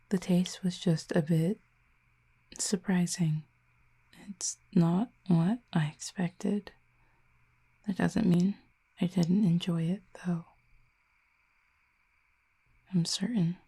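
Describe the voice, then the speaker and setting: A woman playing a gentle, wistful cupid exiled from her home, talking softly and slowly to the listener, often close to a whisper, in an audio roleplay. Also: fairly low pitch, fairly even intonation, slightly rough, exaggerated voice. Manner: soft, hushed and slow, often near a whisper.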